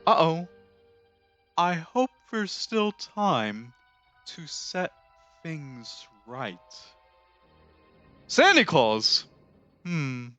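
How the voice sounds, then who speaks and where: A performer voicing a lanky skeleton Pumpkin King from an animated musical, grandiose, excitable and melodramatic, with sweeping theatrical delivery. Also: mid-range voice, very slow, long pauses, faint music behind, heavily acted. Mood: resolute. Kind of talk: fan re-recording of animated musical film lines.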